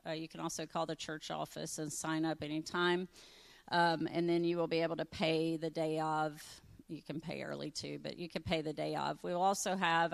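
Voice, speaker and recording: A woman's voice: fairly low pitch, creaky, clean tone. A woman giving chatty church announcements, with many fillers.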